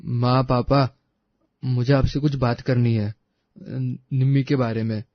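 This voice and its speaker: A man's voice: medium pitch, flat delivery, measured pace, dry recording, muffled recording, lightly acted. A man playing an earnest, devoted young man in love in a story. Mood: respectful, nervous.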